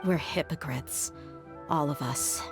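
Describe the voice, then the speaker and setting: Low-voiced, brooding desert mercenary. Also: female voice. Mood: bitter, reflective. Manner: measured, reflective, frank. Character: candid, brooding adult woman mercenary of the desert. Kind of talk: dramatic video game voice acting. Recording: music behind the voice.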